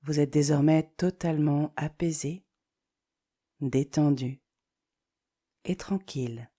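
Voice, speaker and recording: A woman leading a guided yoga nidra relaxation, giving slow, calm, soothing instructions with deliberate pauses. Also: low voice, husky.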